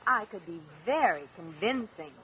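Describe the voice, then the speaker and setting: An actress playing a coolly taunting secretary turned blackmailer, needling and mock-sweet, in a vintage radio crime drama. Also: female voice, medium pitch, lively intonation, normal speed, a bit bright, lightly acted. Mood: coolly insistent. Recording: dull, noisy old radio recording.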